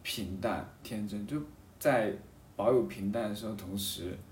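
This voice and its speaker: A man chatting casually and reflectively as a guest, thinking aloud in a halting way and often repeating his phrases. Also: fairly low pitch, a little mellow, distant mic. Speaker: podcast guest talking about a hobby of seal carving. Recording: roomy echo, off-mic, faint background noise.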